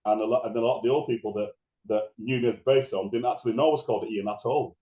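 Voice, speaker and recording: A middle-aged man with a deep voice, a guest DJ chatting casually in an interview and telling stories with many restarts. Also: fairly even intonation, very fast, warm tone, roomy sound, highs cut off. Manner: rambling, restarts and laughs while telling stories.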